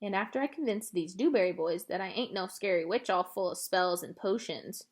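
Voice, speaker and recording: A woman's voice: mid-range voice, brisk, natural voice. A woman voicing a kindly old woman who tells a story in folksy dialect, in a storybook reading. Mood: amused storytelling.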